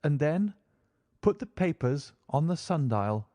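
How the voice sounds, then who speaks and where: A man's voice: sing-song, measured pace, dark tone, dry recording. A man voicing a frightened young Englishman who speaks earnestly and pleadingly, reading an audiobook. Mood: anxious. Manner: earnest, pleading, raised pitch.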